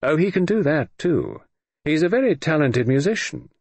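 A man's voice: fairly high pitch, big pitch swings, normal speed, creaky, darkish tone, husky, dry recording, pitched above the performer's own voice, heavily acted. A man doing a nervous, polite bridegroom. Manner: polite, earnest, a little anxious. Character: nervous middle-aged bridegroom, diplomat, polite.

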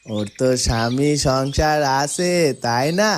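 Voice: mid-range voice, takes it slowly, slight background noise, close to an everyday voice. An actor voicing a friendly, slightly plaintive talking animal companion in a children's fairytale cartoon, chummy and earnest. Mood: affectionate, plaintive.

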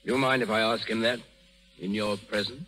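A cold, interrogating emperor. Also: male voice, fairly low pitch, fairly even intonation, normal speed, bright, forceful tone, soft background music, low-quality recording. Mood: stern. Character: stern suspicious middle-aged male emperor. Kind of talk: 1930s radio drama acting.

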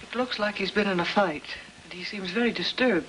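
A woman's voice: mid-range voice, fairly quick, lightly acted. Woman acting a worried American mother, noisy soundtrack. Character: worried, insistent mother of a teenage son. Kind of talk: 1950s film drama acting. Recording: noisy old film soundtrack.

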